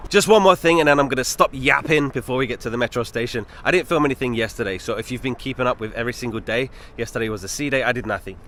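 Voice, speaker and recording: A man's voice: mid-range voice, rapid-fire, hardly any pauses, pushed and bright, a little hiss or hum. A man chatting casually to camera while walking through a city as a travel vlogger, with a British accent that listeners often mistake for American. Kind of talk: casual travel vlog narration. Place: outdoors in a city street.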